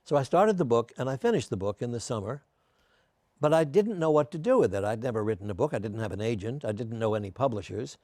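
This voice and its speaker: An older man, a guest in a casual interview, telling genial, self-deprecating stories while his voice is going hoarse. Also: medium pitch, lively intonation, fast talker, a little mellow, dry recording, studio quality.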